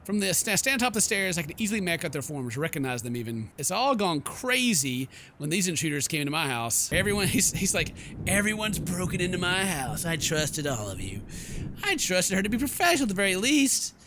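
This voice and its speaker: A man's voice: sits high, very animated, a little fast, background noise. A man hosting a let's play, reading game text aloud in a playful mock-dramatic way with jokey asides. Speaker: gaming video host.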